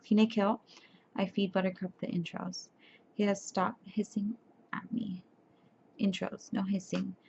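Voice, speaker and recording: A young woman speaking softly for ASMR, tired and sleepy, who stumbles over words and corrects herself as she reads aloud. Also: medium pitch. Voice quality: soft, breathy. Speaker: ASMR creator. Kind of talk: soft-spoken ASMR chat and reading aloud. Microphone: webcam. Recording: dull lo-fi webcam audio with faint hiss.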